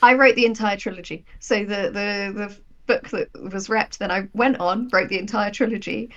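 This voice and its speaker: A woman's voice: medium pitch, steady tone, normal speed, a bit bright, highs cut off. Chatty woman writer in casual interview. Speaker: guest, audio drama writer.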